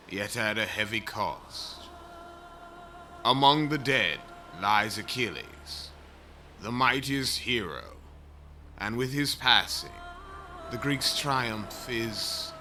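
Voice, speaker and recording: A man's voice: medium pitch, sharp tone. A man narrating an epic audio drama in a solemn, portentous, scripted storytelling style. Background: music.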